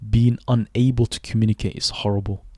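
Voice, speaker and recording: A young man in his twenties who grew up in Sweden, hosting a reflective solo podcast and speaking thoughtfully, with pauses and self-corrections. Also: lowish voice, level tone, dark tone, no echo, studio quality. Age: 20s. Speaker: podcast host.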